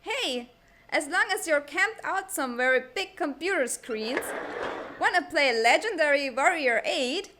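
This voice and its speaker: A high, bright voice of a sixteen-year-old academy boy, acted by a performer. He is earnest and easily frustrated, speaking at a slow pace in an animated comic drama. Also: a little hiss or hum, heavily acted. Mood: cheeky, animated. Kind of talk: animated comic fan dub.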